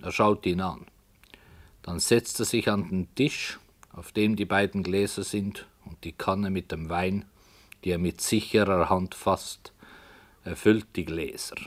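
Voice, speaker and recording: An actor playing a grave author who narrates his dark tale in a radio drama, in a solemn, measured way. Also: low voice, steady tone. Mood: ominous, intense.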